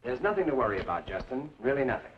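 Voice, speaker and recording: An actor playing an amiable, anxious older frontier newspaper publisher in an old-time western radio drama, wordy and genteel. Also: male voice, medium pitch, very animated, fast, a little hiss or hum, lightly acted. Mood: anxiously placating. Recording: muffled old radio recording with music.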